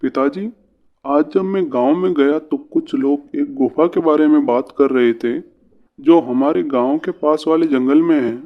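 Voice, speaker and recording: A man playing an earnest, brave and curious young village son in a cartoon fairy tale, with deliberate storybook delivery. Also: on the high side, narrow, tinny audio.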